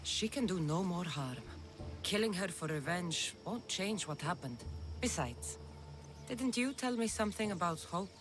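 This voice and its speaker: A woman's voice: lowish voice, lively intonation, a little slow, music in the background. A woman playing a tough, confident mercenary of ancient Greece in a video game cutscene, speaking bluntly. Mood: calm, persuading.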